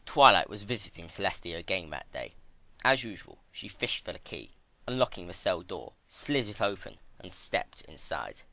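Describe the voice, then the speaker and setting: Animated, low-voiced man narrating a dark story. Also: moderate pace, vocal fry, no room sound. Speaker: narrator, fan fiction reader. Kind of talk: dramatic scripted narration.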